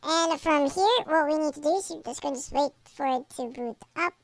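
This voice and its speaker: High-pitched woman narrating a tutorial. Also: brisk, no echo. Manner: repetitive step-by-step instructing. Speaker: tutorial host. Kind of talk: screen-recorded software tutorial narration.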